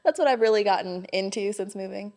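A woman's voice: medium pitch, quick pace, darkish tone. A young woman from Hawaii, a podcast guest telling her story in a friendly, upbeat way. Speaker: guest, small business owner. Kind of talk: casual interview chat.

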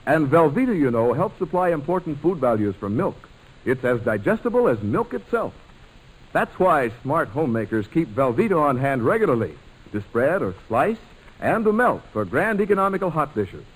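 Animated, high-voiced male vintage radio announcer. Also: moderate pace, husky, rough recording. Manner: polished, upbeat salesmanship. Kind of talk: 1940s radio announcing and sponsor narration. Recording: old radio broadcast transcription.

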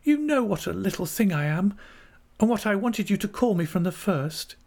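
Man voicing a high, girlish, coaxing young wife. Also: big pitch swings, moderate pace, gravelly, a little mellow, exaggerated voice. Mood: wistful pleading. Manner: girlish, pleading, cooing delivery. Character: timid, coaxing, childlike young wife, affectionate and naive. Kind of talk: audiobook character dialogue from a classic novel.

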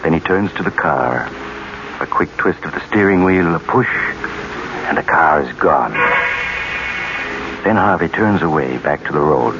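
An actor playing the ominous narrator of an American radio crime thriller, measured and dramatic in delivery. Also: male voice, a bass voice, lively intonation, full character voice. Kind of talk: 1940s radio mystery narration. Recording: muffled, noisy vintage radio recording.

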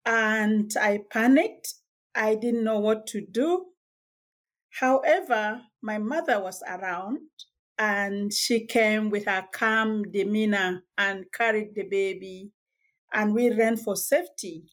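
A woman from Sudan, telling her life story as a guest in a podcast interview, measured and reflective with small hesitations. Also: mid-range voice. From South Sudan. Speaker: guest author.

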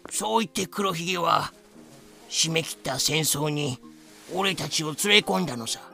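A man playing a rough, lively old-hand pirate telling tales with slangy, dramatic delivery in a fan-made voice drama. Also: on the high side, normal speed, faint music behind, full character voice. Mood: grim storytelling. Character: rough lame ex-pirate storyteller, boisterous, lively.

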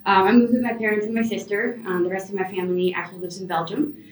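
A woman from Venezuela talks quickly and animatedly as a podcast guest, with plenty of fillers. She sounds far from the mic. The room around her is noticeably echoey. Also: medium pitch, slight background noise. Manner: animated, chatty, many fillers. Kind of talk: casual interview answers. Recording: roomy, distant remote mic.

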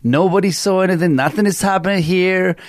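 A middle-aged man from Chile with a Chilean Spanish accent, hosting a talk radio show in an animated, rambling storytelling way. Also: high-pitched, brisk, dry recording, studio quality. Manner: animated storyteller, many fillers and restarts. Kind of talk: casual opinionated talk radio chat.

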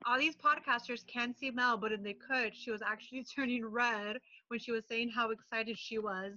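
A woman's voice: fairly high pitch, fairly even intonation, normal speed, sharp tone, dull audio. A woman co-hosting a casual podcast, teasing and incredulous. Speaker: podcast co-host. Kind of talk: casual chat among friends.

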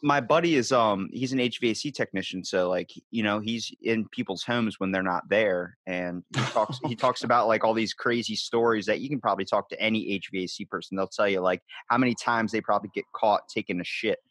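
A young man hosting a casual podcast interview, telling crude jokes and stories with animated energy. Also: fairly low pitch, fairly quick, hardly any pauses, no echo. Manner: animated, crude joking storyteller. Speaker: podcast host.

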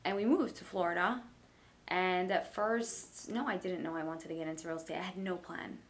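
A woman talks as a podcast guest, with a slightly low voice. She sounds relaxed and chatty, fills her speech with small fillers, and some faint noise sits under the recording. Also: normal speed. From Brooklyn, New York. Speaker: realtor guest. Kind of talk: casual interview chat.

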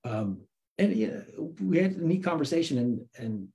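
A man's voice: fairly high pitch, normal speed. A man with an American accent telling a personal story as an interview guest in a casual, informal way, acting out quoted dialogue. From United States, grew up in Santa Cruz, California. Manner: informal, animated retelling with acted-out quotes. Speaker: interview guest, works in film.

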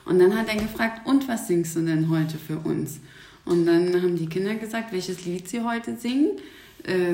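A woman co-hosting a podcast in casual conversation, chatty and quick to laugh. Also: mid-range voice, darkish tone. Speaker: podcast co-host. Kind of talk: casual chat. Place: indoor room at a community workshop. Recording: roomy, echoing sound.

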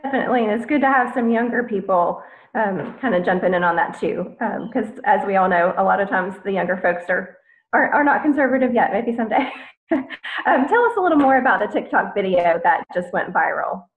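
A woman's voice: mid-range voice, lively intonation, a little fast. A woman hosting a podcast interview in a casual way, using many fillers. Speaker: podcast host. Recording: echoey, phone-like lo-fi sound.

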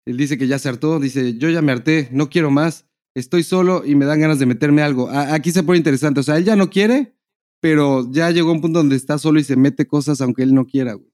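A man's voice: a little high, a little fast, darkish tone. A man with a Mexican accent co-hosting a podcast, reading out listener messages and joking in crude, slangy casual talk. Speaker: podcast co-host.

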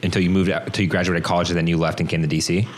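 A man hosting a casual chat, quick and chatty, jumping in to finish his guest's thoughts. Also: sits low, little pitch movement, slight background noise. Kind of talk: casual conversation.

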